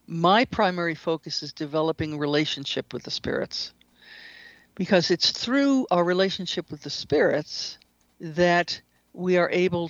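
Female interview guest, deliberate pacing with pauses, explanatory, dry sound, slightly muffled lo-fi audio. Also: lowish voice, no echo. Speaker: guest author and shamanic practitioner.

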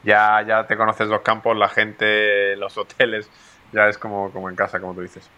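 A man's voice: fairly low pitch, monotone, normal speed, sharp tone. A Spanish man, a professional golfer, answering interview questions in a hesitant way, with many fillers and restarts. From Spain.

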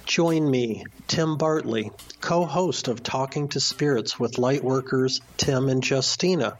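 A man, a radio co-host, reading a scripted promotional announcement for his show. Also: medium pitch.

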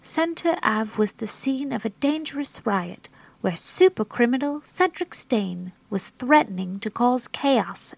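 A woman's voice: on the high side, vocal fry, dry recording, exaggerated voice. An actress playing a female TV news reporter delivering a formal report. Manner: measured, formal.

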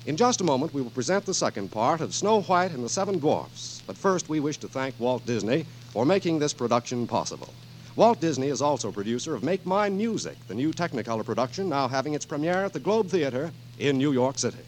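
A man's voice: mid-range voice, very animated, a little fast. A man works as a radio announcer in 1940s style. His scripted read is polished and emphatic, rising and falling with lots of animation. The vintage recording carries faint noise. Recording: noisy vintage radio broadcast.

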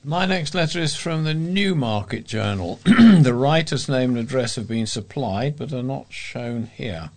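A man's voice: medium pitch, animated, measured pace, hardly any pauses, a little mellow. A British man reading newspaper letters and columns aloud as a volunteer news reader.

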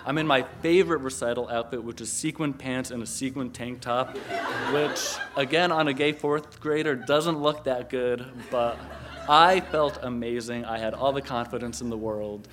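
A man from Connecticut telling a personal story live on stage, animated and laughing often, with many filler words. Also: mid-range voice, moderate pace, noisy background. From Connecticut, USA. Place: live stage before an audience.

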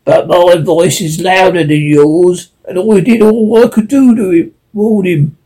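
A man voicing a bitter, gloomy crow who speaks gravely and sadly, in a storybook reading. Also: high-pitched, normal speed, dark tone, full character voice.

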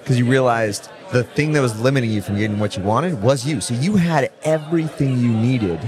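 A man's voice: medium pitch, brisk, crowd noise. A man hosting a podcast, chatting casually and agreeably with a guest in an affirming manner. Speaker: podcast host. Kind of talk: casual interview chat.

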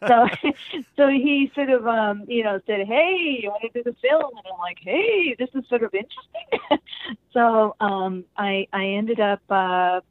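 A middle-aged woman telling stories in a casual interview, animated and laughing often as she acts out the people she quotes. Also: fairly high pitch, a little slow, no echo. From Japan and America. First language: Japanese. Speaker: interview guest, musician and actress. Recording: tinny phone-like call audio, dry.

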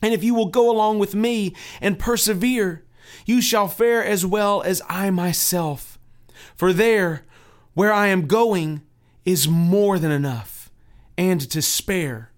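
A man's voice: sits high, takes it slowly, voice pushed up. A man voicing an earnest, devout male pilgrim who pleads and preaches with fervor. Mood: fervent. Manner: fervent, preaching.

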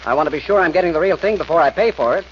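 Actor as higher-pitched husband, muffled old radio. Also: male voice, very fast, close to an everyday voice. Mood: assured. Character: confident, reassuring wealthy husband. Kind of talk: 1940s radio drama acting. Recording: muffled, hissy vintage radio recording.

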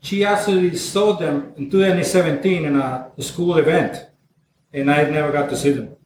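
A man with a Venezuelan Spanish accent chats easily as a band's drummer, joking as he goes. His voice sits high for a man. The sound is roomy, with a faint hiss underneath. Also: normal speed, far from the mic. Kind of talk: casual chat.

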